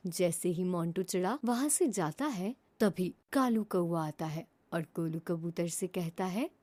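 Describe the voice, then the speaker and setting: Woman narrating a lively children's story. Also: fairly low pitch, measured pace.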